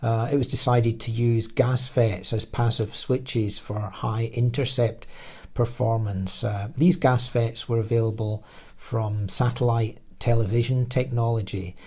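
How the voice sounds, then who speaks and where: A man with a medium-pitched voice gives an explanatory technical lecture. He speaks as an engineer walking through slides. His delivery is halting, often pausing partway through a phrase before carrying on. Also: fairly even intonation, a little mellow. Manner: halting delivery with frequent pauses mid-phrase. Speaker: radio designer and engineer presenting.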